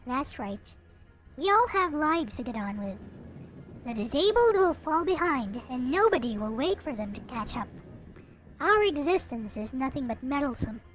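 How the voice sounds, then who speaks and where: A woman with a high-pitched voice reads a story aloud in a playful, theatrical way. Her intonation swings widely, and she slips into casual asides. Soft music plays behind her. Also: very animated, normal speed, low-quality recording. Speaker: host reading a visual novel aloud. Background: background music.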